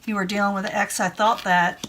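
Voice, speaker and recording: A woman's voice: mid-range voice, steady tone, brisk, a bit bright. A woman doing a casual tarot reading, chatty and reassuring, who says she is losing her voice. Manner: chatty, reassuring, repeats herself. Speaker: tarot reader.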